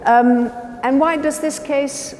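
A woman hosting a live onstage interview in a small gallery, asking brief prompting questions and giving quick affirmations. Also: sits high, fairly even intonation, a little mellow, big-room sound. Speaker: talk show host. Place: small gallery stage before an audience.

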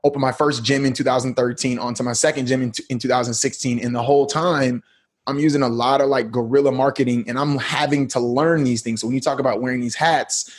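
Husky-voiced man telling his story. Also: medium pitch, steady tone, fast talker. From United States. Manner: laid-back, slangy storytelling. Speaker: podcast guest. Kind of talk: casual interview talk.

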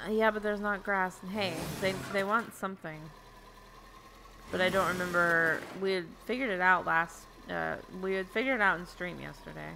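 A woman's voice: lowish voice, moderate pace, gravelly, noisy background. A young woman streaming a farming game over a headset, chatting casually and giggling as she thinks aloud. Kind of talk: casual gameplay chat. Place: home room indoors.

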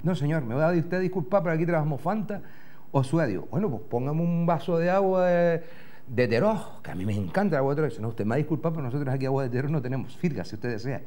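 A man's voice: fairly high pitch, animated, fast, warm tone. A middle-aged man with a Canarian Spanish accent telling a rambling comic story as a TV host, swearing and doing the other people's voices, on a lapel mic in a studio. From Gran Canaria. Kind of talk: comic monologue in character. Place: TV studio in front of a backdrop.

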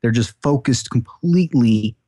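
A man's voice: mid-range voice, moderate pace, warm tone, no room sound. A man giving earnest, wordy, conversational sports analysis as a podcast guest.

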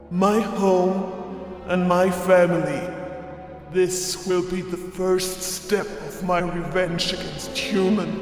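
A man voicing a brooding, vengeful hellhound monster in a manga dub, with a slow, menacing delivery. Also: high voice. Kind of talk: dramatic inner monologue in a manga dub. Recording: heavy reverb, music underneath. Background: music.

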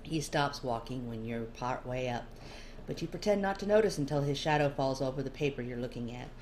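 A woman's voice: rumbling low, fairly quick, a little mellow. A woman narrating a scripted story in the second person, as an amateur reader of fiction. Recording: clear background noise.

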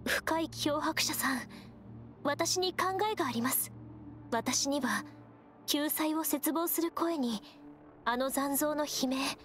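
A gentle, perceptive young scout from an anime game. Her voice is high and she speaks politely, in measured and earnest phrases. Soft music runs behind her. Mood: earnest, concerned. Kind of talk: Japanese anime game voice acting.

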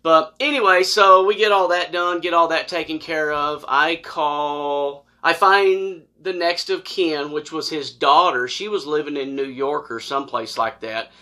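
A middle-aged man with an American accent telling a personal story casually, in a folksy, animated way and acting out dialogue. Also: fairly high pitch, a little slow, few pauses. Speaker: storyteller, former law enforcement officer. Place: small wood-paneled room indoors, seated on a couch.

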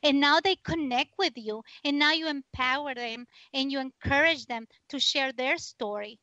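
A woman's voice: sits high, normal speed, sharp tone, dry recording, highs cut off. A woman in her forties from Ecuador, speaking English with a Spanish accent as a guest author, earnest and encouraging, with slightly broken grammar. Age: 40s. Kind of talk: interview answers.

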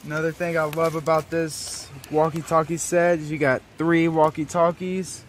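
A man's voice: fairly high pitch, level tone, moderate pace. A man giving a casual product demonstration as he unboxes, recorded outdoors from a step or two away. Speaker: product reviewer. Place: outdoors, wooded yard. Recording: faint outdoor background noise.

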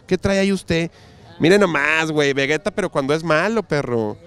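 A man's voice: a little high, lively intonation, moderate pace, fairly bright tone, clear, resonant tone. A man in his thirties with a Mexican accent, interviewing passersby on a pier with a handheld mic, joking in slangy banter. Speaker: street interviewer. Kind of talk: casual street interview. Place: outdoors on a busy seaside pier.

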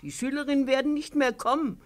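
A woman's voice: sits high, steady tone, fast talker, close to an everyday voice. An actress playing a stern, sardonic maidservant in a 1950s radio play, commanding and motherly, speaking with deliberation. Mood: angry scolding. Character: stern, bossy maidservant, scolding yet motherly and complicit. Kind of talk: 1950s German-language radio play acting.

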